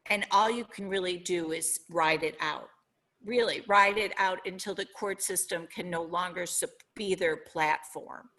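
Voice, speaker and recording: Middle-aged Minnesotan woman, podcast guest, bright voice, reflective and sincere. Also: on the low side, unhurried. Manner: earnest, reflective, searching for words. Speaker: guest author. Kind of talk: podcast interview.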